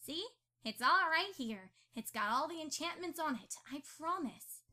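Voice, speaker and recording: A woman's voice: high-pitched, animated, normal speed, bright, forceful tone. A woman playing an earnest, bookish young pony mother in an amateur audio drama. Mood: defensive, insistent.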